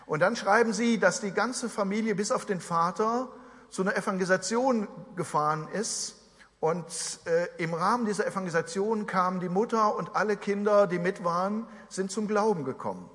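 Male pastor, 40s, from Germany; earnest conversational sermon delivery, a touch high, reverberant hall. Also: normal speed, fairly bright tone. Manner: earnest, conversational preaching with asides to the congregation.

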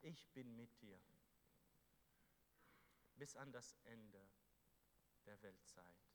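A man preaching a sermon to a congregation, fervent, moving between loud exhortation and quiet, earnest passages. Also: fairly low pitch, very slow. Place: church hall. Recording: echoey hall with faint hum.

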